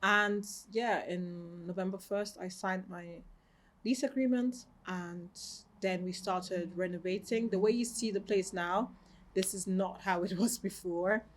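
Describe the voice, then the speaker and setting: Woman, thirties, raised in Austria, calm, reflective, indoor shop. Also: medium pitch, a little slow. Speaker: boutique owner, guest. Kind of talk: interview answers. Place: her boutique, indoors.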